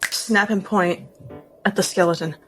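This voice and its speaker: A woman's voice: medium pitch, steady tone, measured pace, a bit bright, soft background music, close to an everyday voice. A woman voicing a direct, urgent female adventurer in a casual tabletop roleplaying game, blunt and questioning.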